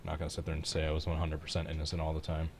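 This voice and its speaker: A man's voice: extremely low pitch, monotone, rapid-fire, a little hiss or hum. A man with a Midwestern American accent, chatting casually and reacting live to a race as a stream host.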